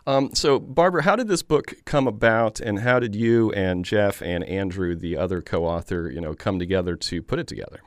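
A man hosting a public radio interview show, introducing his guests in a measured, friendly way. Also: fairly low pitch, no echo, studio quality.

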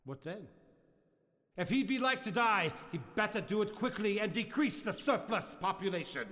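An actor playing a solemn, admonishing Christmas ghost in a radio play. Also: male voice, high-pitched, animated, moderate pace, fairly bright tone. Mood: grave. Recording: long hall reverb.

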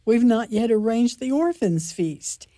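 A woman's voice: fairly high pitch, expressive, measured pace, warm tone, no echo. A woman playing a tart, sarcastic convent sister in a community radio play. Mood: dry. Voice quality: dry and sarcastic. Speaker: nun. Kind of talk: community radio drama acting.

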